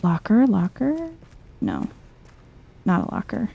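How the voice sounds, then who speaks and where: A soft-spoken woman giving chill, casual game commentary in an ASMR vibe, murmuring as if to herself. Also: mid-range voice, huge pitch swings, takes it slowly, gravelly, breathy edge, highs cut off. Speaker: gameplay streamer.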